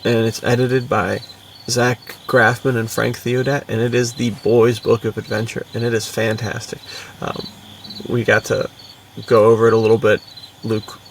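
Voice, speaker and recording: A man's voice: medium pitch, little pitch movement, moderate pace, gravelly, distant mic, slight background noise. An American man co-hosting a podcast in casual, informal chat, using plenty of laid-back slang. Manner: informal, bro-ish, frequent "dude" and "like". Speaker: podcast co-host and adventure fiction author.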